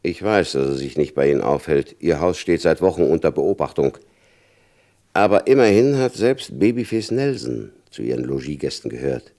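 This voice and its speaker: An actor playing a hard, shrewd FBI chief in a German radio crime drama, condescending and insinuating, with a gravelly voice. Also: male voice, rumbling low, animated, normal speed, mellow. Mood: insinuating pressure.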